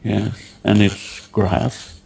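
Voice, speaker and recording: A man leading a guided meditation, giving halting, unhurried instructions and asking gentle questions. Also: lowish voice, monotone, creaky, slight background noise.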